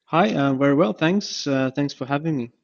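A man's voice: a little high, moderate pace, gravelly. A man from Ukraine, a startup founder interviewed as a guest, speaking casually and hesitantly with many fillers and restarts. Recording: dull lo-fi podcast audio.

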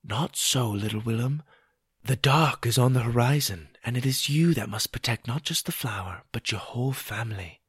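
A man voicing a hushed, gentle moon spirit. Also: fairly high pitch, animated, slow, vocal fry, slightly rough, no echo, clean studio sound, pitched above the performer's own voice, heavily acted. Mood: solemn. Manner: soft, hushed, solemn.

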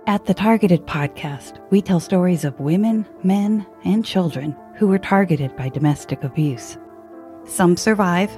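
A woman podcast host reading scripted trailer narration in a measured, earnest way. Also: fairly low pitch, dark tone, soft background music. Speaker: podcast host and college professor.

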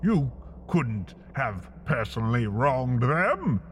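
A man reading a scripted comedic story in the second person, wry and slow, with big swings in his voice. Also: mid-range voice, sing-song, long reverb tail, slight background noise. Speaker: narrator.

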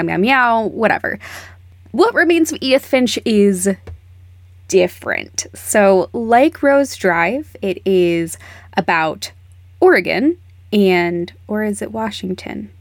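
A woman with a medium-pitched voice recommends things to podcast listeners in an intimate way. She uses dramatic pauses and soft endings, recorded very dry and close. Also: dry recording. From a desert region. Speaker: podcast contributor giving recommendations.

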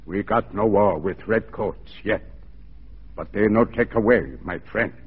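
An actor playing a proud, stern old Cree chief who speaks solemn, halting broken English in 1940s radio style. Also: male voice, lowish voice, slightly rough. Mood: commanding. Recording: dull, muffled 1940s radio recording.

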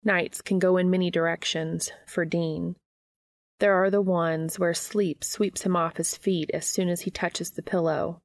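A woman's voice: lowish voice, steady tone, measured pace, dry recording. A woman reading scripted fiction as a podcast host and narrator.

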